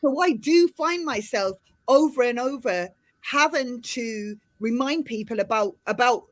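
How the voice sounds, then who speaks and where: A middle-aged woman from Ireland with a softened Irish accent, hosting a casual panel chat over a video call, talking in a chatty, animated way with many restarts. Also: fairly high pitch, unhurried. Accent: Irish, softened by years in the UK. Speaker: host.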